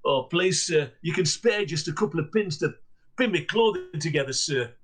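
A man's voice: fairly high pitch, expressive, normal speed, fairly bright tone. A man, playing a poor teenage girl begging for a few pins. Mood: pleading. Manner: begging, timid.

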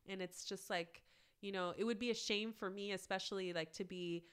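A woman guest on a podcast, talking casually with lots of "like" fillers, her voice raspy from a cold. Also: mid-range voice, fairly even intonation, normal speed, a bit bright. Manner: chatty, many "like" fillers. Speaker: guest, plant-based cookbook author.

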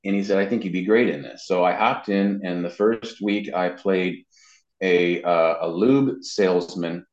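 A man's voice: sits low, fairly even intonation, unhurried. A middle-aged man chatting casually as an interviewee, telling anecdotes in a conversational storytelling way. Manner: chatty storyteller, acts out quoted dialogue. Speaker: interviewee, amateur voice actor. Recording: dull, roomy, echoey sound.

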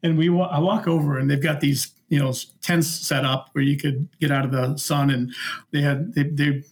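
A man's voice: a little high, steady tone, normal speed. A middle-aged man with an American Midwestern accent, casually telling anecdotes in a rambling, good-humoured way as a podcast guest. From Minnesota, United States. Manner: rambling, good-humoured, acts out reported dialogue. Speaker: podcast guest, former concert security worker.

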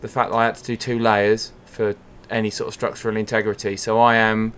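A man's voice: fairly low pitch, flat delivery, brisk, a bit bright, slight background noise. A man chatting casually and comically on a podcast, wry and wordy in a mock-grandiose way. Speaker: podcast contributor. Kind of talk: casual comedic chat.

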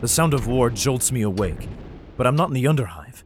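A man's voice: mid-range voice, very animated, a little fast, a little hiss or hum, close to an everyday voice. An actor playing a grim, protective father in a science fiction audio drama, somber and guarded as he tells his story. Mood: haunted. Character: grim, protective father fleeing alien invasion with his children. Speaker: narrator and lead character. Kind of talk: dramatic first-person audio drama narration. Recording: music under the voice. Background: dramatic music.

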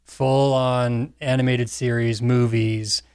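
A man with a middle-pitched voice talks casually in a podcast panel discussion. The recording sounds dry and close. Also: level tone, takes it slowly, darkish tone, smooth, no echo.

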